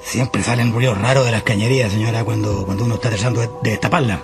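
A Chilean man playing a folksy, evasive caretaker in a radio drama, with colloquial, halting, repetitive speech. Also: fairly low pitch, fairly even intonation, gravelly. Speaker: actor. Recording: music behind the voice.

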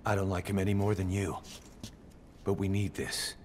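An actor playing a blunt, pragmatic military second-in-command in a video game, dry in manner. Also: male voice, low voice, level tone, normal speed, a little mellow, a little hiss or hum, close to an everyday voice. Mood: dry, insistent. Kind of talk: video game cutscene acting.

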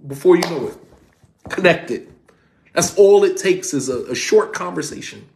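A man in his forties teaching an online class from home, talking in a lively, conversational way and repeating points for emphasis. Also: sits high, moderate pace, a little hiss or hum. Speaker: Islamic teacher. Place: home room, indoors. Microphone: phone camera at desk.

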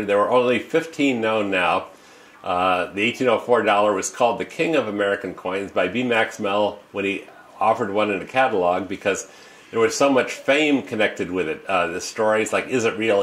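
A man's voice: on the low side, a bit bright. An older man seated at a desk in an office, telling a story to the camera in an informal, rambling way with many fillers and restarts. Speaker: coin dealer and auctioneer. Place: office with desk and leather chair, indoors. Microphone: fixed camera, seated at desk.

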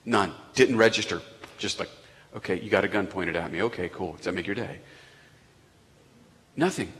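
Conversational pastor in an echoey church. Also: male voice, deep voice, normal speed. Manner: conversational, animated with asides to the congregation. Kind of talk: sermon. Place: church sanctuary.

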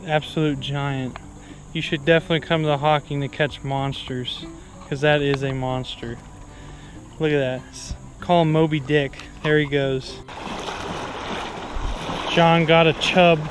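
A man's voice: a little high, flat delivery, a little slow, long pauses, noisy background. A young man chatting casually and jokily outdoors as he fishes, with a laid-back, teasing manner. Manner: laid-back, jokey exaggeration. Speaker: fishing vlogger. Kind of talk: casual chat while fishing. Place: outdoors on a wooded river. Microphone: action camera worn or held by him.

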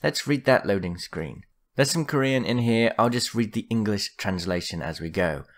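Low-voiced man explaining game lore. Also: animated, normal speed. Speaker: gaming lore video host. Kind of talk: scripted narration, lore explainer.

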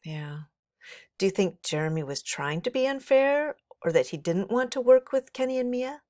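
A woman's voice: a little high, expressive, moderate pace, a little mellow, no echo, studio quality. A middle-aged woman reading a children's story aloud expressively, as a teacher would for young listeners.

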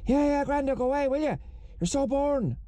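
A man's voice: extremely high pitch, animated, a little fast, dark tone, dry recording. A man voicing a cheeky, chummy young Irish sidekick who chats in a whiny, easily bored way.

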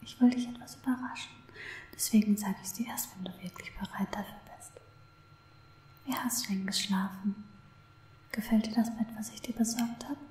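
An obsessive, lovestruck female stalker, played by a woman in an ASMR roleplay. She coos to her captive in a soft, unhurried whisper at a middling pitch, tender and possessive. The microphone is close, with noticeable room echo around the voice. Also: steady tone, close to an everyday voice. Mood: doting. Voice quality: breathy whisper.

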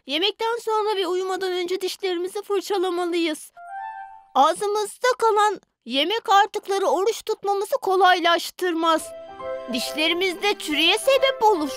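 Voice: extremely high pitch, moderate pace, a bit bright. An actor voicing an earnest, bossy older-brother boy in a dubbed children's cartoon, speaking in an instructive, articulate way. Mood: scolding. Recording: music behind the voice.

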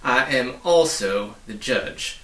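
A man's voice: mid-range voice, animated, takes it slowly, fairly bright tone, echoey room, recorded from a distance, poor audio. A man voicing a tiny, fierce, bossy storybook policeman with a blustering, accusatory manner. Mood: brisk, officious.

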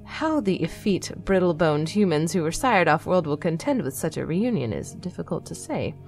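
An actress playing a weary, exasperated android nun giving a broadcast in a dry, articulate, wry manner in an audio drama. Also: female voice, lowish voice, sing-song, moderate pace, darkish tone, faint music behind. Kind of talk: scripted audio drama monologue.

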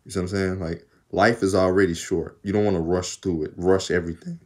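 Deep-voiced Southern man chatting casually. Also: steady tone, a little fast. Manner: rambling, talkative, laughs often. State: arms tired while undoing braids. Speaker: vlogger. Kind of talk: casual chat to camera. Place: small room indoors. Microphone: phone camera at arm's length.

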